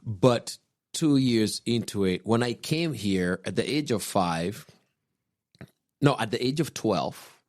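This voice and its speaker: A man, calmly telling his personal faith story on a podcast, unhurried and reflective. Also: lowish voice, dry recording, studio quality. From Kenya. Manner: unhurried, reflective, with soft chuckles. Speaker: podcast guest husband, pastor.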